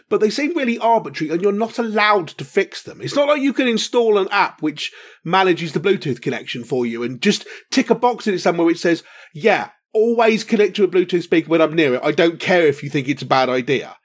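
A man's voice: high-pitched, lively intonation, a little fast. A man co-hosting a casual tech podcast, wry and often laughing, with hesitant fillers. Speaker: podcast co-host.

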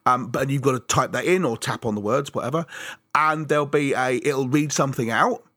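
A man co-hosting a casual podcast chat, self-deprecating and quick to laugh, with halting restarts. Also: mid-range voice, a bit bright, dry recording, clean studio sound. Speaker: podcast co-host.

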